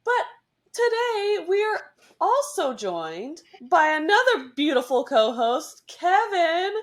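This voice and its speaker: A woman's voice: very high-pitched, big pitch swings, slow. A woman hosting a podcast, chatting in a bubbly, rambling way. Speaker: podcast host. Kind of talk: casual interview chat.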